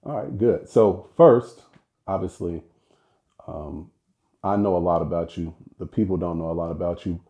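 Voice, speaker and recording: A man's voice: low-pitched, steady tone, moderate pace, mellow. A man hosting a podcast and interviewing his fiancée, with an upbeat manner. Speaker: podcast host.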